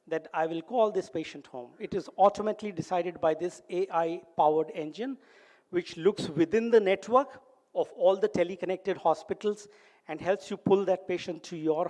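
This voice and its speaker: A man's voice: high voice, normal speed, mellow. Indian man giving a conference talk. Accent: Indian English. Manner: earnest, instructive. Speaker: conference speaker. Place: conference hall.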